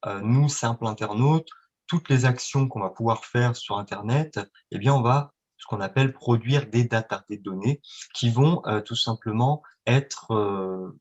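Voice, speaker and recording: A man with a mid-range voice talks as an interview guest. He explains in a wordy, didactic manner, setting out each point and posing rhetorical questions as he promotes his subject. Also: mid-range voice. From France or Italy, Franco-Italian. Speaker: guest, marketing agency representative.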